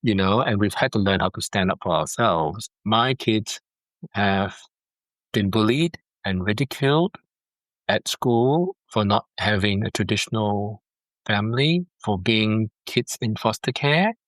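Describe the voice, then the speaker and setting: Earnest man from Singapore, lowish voice. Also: expressive, measured pace, fairly bright tone, dry recording, poor audio. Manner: earnest, repeats words while thinking. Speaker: foster dad, guest. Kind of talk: heartfelt interview over a call. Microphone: video call.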